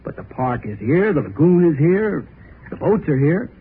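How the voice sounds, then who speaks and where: An actor playing a carefree, playful young groom who jokes boisterously, in 1930s radio acting style. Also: male voice, a little high, big pitch swings, moderate pace. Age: young adult. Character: carefree joking young groom, happy-go-lucky. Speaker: young bridegroom. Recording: tinny old radio recording with faint hiss.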